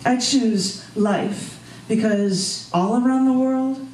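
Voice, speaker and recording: A woman's voice: a little high, slow pace, darkish tone. An American woman speaking as a poet and host at a live open mic, with a spoken-word delivery. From United States. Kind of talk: live spoken-word poetry reading with casual asides. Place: indoor bar gallery open mic. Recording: roomy, distant live recording with background noise.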